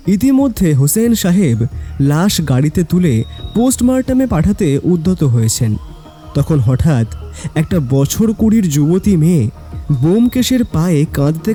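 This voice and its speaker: A man's voice: a little high, big pitch swings, a little slow, warm tone. A man narrating a scripted story in a dramatic storytelling style. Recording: background music.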